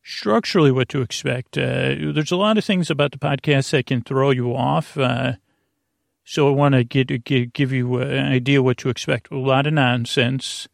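A man with a creaky, lulling voice hosts a sleep podcast, rambling haltingly and drifting into tangents and self-interruptions. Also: medium pitch, fairly even intonation, no room sound, clean studio sound. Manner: lulling, soothing. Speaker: podcast host. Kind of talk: rambling, meandering bedtime monologue to help listeners sleep.

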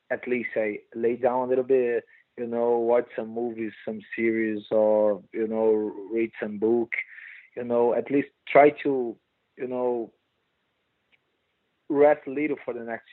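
A Brazilian man with a Portuguese accent, a guest speaking halting non-native English with many restarts and "you know". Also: mid-range voice, steady tone, no echo, narrow, tinny audio. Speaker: guest fighter. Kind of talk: casual interview chat.

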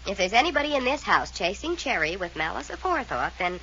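A woman's voice: medium pitch, big pitch swings, quick pace, a bit bright. Brash, sassy woman, old scratchy radio. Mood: mocking, flippant. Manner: brash, mocking, slangy. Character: sassy, flippant eldest sister, brash young woman. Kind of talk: 1940s radio acting. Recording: scratchy old-time radio broadcast, hissy, muffled.

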